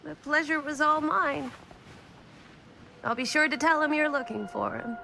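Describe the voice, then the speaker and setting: Creaky, high-voiced, cryptically courteous woman. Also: a little slow, background noise. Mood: cryptic, amused. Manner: hesitant, riddling, courteous. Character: eerie, cryptic woman, politely amused and evasive. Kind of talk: video game cutscene acting.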